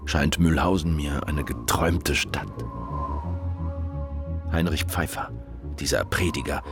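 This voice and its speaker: An actor playing a young revolutionary who looks back on his past in an audio drama, in a measured, reflective way. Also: extremely low pitch. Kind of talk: audio drama narration and dialogue.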